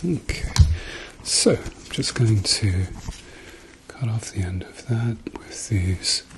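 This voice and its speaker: A man speaking softly to the listener as a doctor in an ASMR medical roleplay, murmuring reassuringly and often slipping into whispers. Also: lowish voice, slow pace, poor audio. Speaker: ASMR performer playing a doctor.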